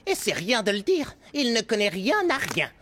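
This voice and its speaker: A woman's voice: a little high, big pitch swings, normal speed, noisy background. A woman voicing a keen, matter-of-fact young germ in a French cartoon dub. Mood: eager, griping. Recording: music under the voice.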